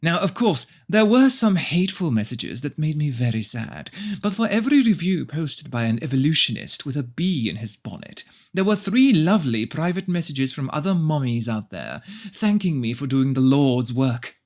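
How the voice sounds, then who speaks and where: A man's voice: a little high, sing-song, normal speed. A man voicing a prim, pious, self-satisfied mother who narrates her story in a preachy, cloying way. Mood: sweetly pious.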